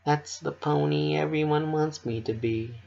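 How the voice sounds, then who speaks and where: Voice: mid-range voice, unhurried, creaky, darkish tone, lo-fi sound, pitched above the performer's own voice. A narrator voicing a bubbly young female cartoon pony whose forced cheer covers a fragile sadness, in a dramatic story reading. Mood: weary, sad. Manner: forced cheer, squealing, emotional.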